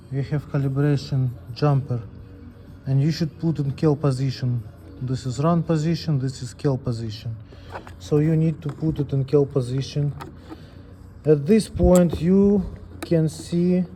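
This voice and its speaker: Slow, dark-voiced man giving a technical tutorial. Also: fairly high pitch. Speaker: presenter of a scale calibration tutorial. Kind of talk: unscripted step-by-step technical demonstration.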